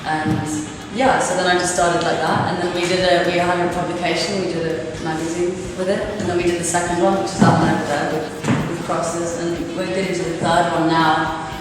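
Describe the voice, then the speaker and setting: A woman's voice: lowish voice, level tone, a little fast, hardly any pauses, very noisy. A woman in her twenties, originally from Turkey with Turkish as her first language, talking casually and hesitantly about her gallery in an interview, with many fillers. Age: 20s. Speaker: gallery owner. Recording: echoey room, mic at a distance, music behind.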